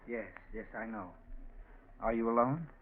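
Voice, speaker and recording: An actor playing a guarded, quietly menacing young drifter in a 1940s radio drama, laconic in manner. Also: male voice, medium pitch, normal speed, natural voice. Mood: guarded, probing. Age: young adult. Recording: scratchy, tinny 1940s radio recording.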